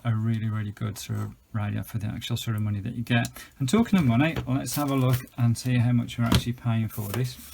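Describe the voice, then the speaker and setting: A man's voice: medium pitch, creaky, a little mellow, slight background noise. A British man giving a casual, unscripted product demonstration as a video host, chatty and informal, with some hesitancy. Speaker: gadget reviewer and video host.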